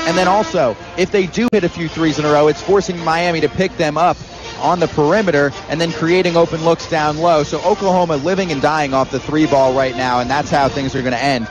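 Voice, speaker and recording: Male color commentator, somewhat high register, explanatory live basketball analysis with music clearly behind. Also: rough recording. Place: indoor basketball arena.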